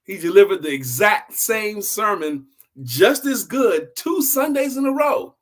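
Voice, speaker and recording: Animated higher-voiced man telling a story. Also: a little slow. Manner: conversational, emphatic, repeats phrases for emphasis. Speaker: host, storyteller. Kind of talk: informal storytelling for adults, like a bedtime story.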